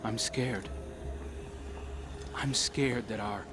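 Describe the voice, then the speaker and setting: An actor playing an earnest young male hero in a game cutscene, sincere, vulnerable and resolute in a measured way. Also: fairly low pitch, fairly even intonation, noisy background. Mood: vulnerable resolve. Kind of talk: video game cutscene dialogue. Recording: loud music behind the voice.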